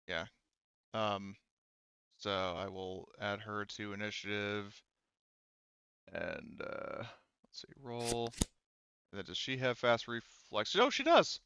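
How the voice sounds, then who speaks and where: A man running an online tabletop roleplaying game as game master, talking casually and thinking aloud with hesitant pauses. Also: fairly low pitch, expressive, sharp tone, smooth, no echo, lo-fi sound.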